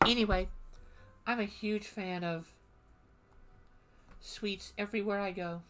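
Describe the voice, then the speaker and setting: A woman's voice: medium pitch, fairly even intonation, takes it slowly, a bit bright, soft background music. A woman streamer chatting casually and playfully while reading through a game, rambling between lines. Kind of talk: casual gameplay commentary.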